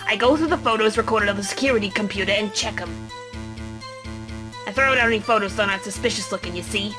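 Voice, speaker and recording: A woman's voice: mid-range voice, quick pace, close to an everyday voice. A woman playing a feisty, crabby elderly security guard in an amateur fan dub of a game, talking in a folksy, scolding way. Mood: explaining. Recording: music playing behind the voice.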